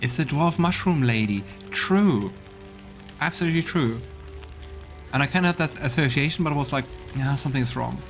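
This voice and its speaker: Mid-pitched man doing casual game commentary. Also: expressive, normal speed, faint music behind. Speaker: let's play host.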